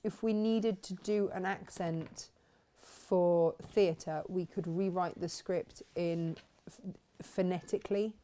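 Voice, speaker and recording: A woman's voice: on the low side, fairly even intonation, takes it slowly, hardly any pauses, warm tone. A woman in easy, chatty conversation on a crafting show. She tells small stories with playful energy and laughs often between lines. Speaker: co-host. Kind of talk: casual chat on a crafting show.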